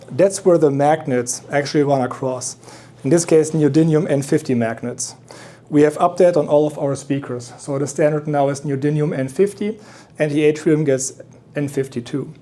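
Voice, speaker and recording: Mellow man presenting a product. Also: fairly high pitch. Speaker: loudspeaker company representative giving a product demonstration. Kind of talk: explanatory product presentation at an audio show.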